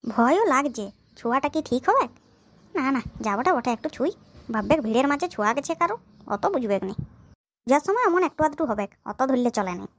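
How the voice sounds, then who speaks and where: Sly girl-chasing village lad for cartoon comedy, played by an actor, high-pitched, quick, self-justifying. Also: very animated, fairly bright tone, full character voice. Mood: sly, hesitant. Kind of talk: comic cartoon dialogue in village dialect.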